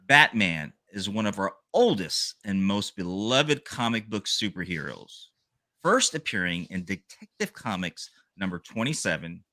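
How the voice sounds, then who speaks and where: A man's voice: fairly low pitch, pushed and bright, dry recording. A man co-hosting a comic book podcast, reading a scripted sales rundown and joking with his co-hosts. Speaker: podcast co-host.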